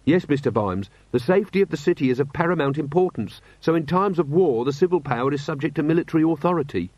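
A man's voice: a little high, expressive, fast talker, darkish tone, no room sound, muffled recording, pitched above the performer's own voice. A man voicing an earnest, dutiful young watch officer with a respectful, matter-of-fact manner.

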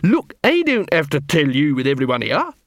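A man's voice: on the high side, wildly swooping pitch, normal speed, no room sound, clean studio sound. A man playing an irate, bullying watch captain in an audiobook, snarling and indignant. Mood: angry, contemptuous.

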